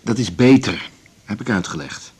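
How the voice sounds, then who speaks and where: An actor playing a guarded music teacher in a radio drama, terse, weary and dismissive. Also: male voice, fairly low pitch, expressive, normal speed, a little mellow, breathy edge. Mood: curt, guarded. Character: curt, guarded male music teacher ending an affair. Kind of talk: naturalistic radio drama dialogue.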